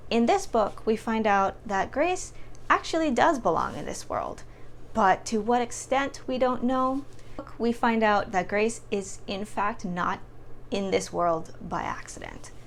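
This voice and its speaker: Young woman chatting, clearly noisy recording. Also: on the high side, expressive, a little slow. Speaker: book reviewer vlogger. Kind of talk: casual chatty book review to camera. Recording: clearly audible background noise.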